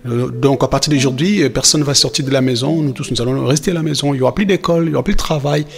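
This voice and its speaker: A man's voice: medium pitch, racing, creaky, faint music behind, natural voice. A man playing a reassuring father in a cartoon, explaining things patiently and a little hesitantly to his children. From Democratic Republic of the Congo. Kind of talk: animated film dialogue, semi-improvised explanation.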